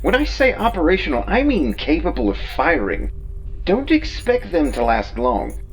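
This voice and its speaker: A man's voice: on the high side, wildly swooping pitch, normal speed, soft background music, low-quality recording. A man voicing a dry, literal-minded starship artificial intelligence in a scripted sci-fi audio drama, precise and wry. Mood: dry, grumbling. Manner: precise, matter-of-fact, wry.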